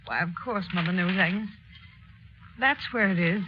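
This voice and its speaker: A woman playing an exhausted, gently reassuring mother in a 1930s radio drama, speaking in a subdued, soothing way. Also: lowish voice, normal speed, natural voice. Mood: weary reassurance. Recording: noisy, muffled old radio broadcast.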